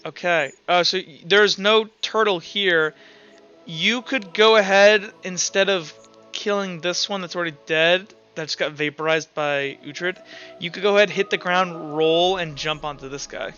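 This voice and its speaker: A man's voice: high-pitched, measured pace, bright, forceful tone, clean tone, highs cut off, soft background music. A man acting as game master, narrating a tabletop roleplaying game in a casual, slangy way.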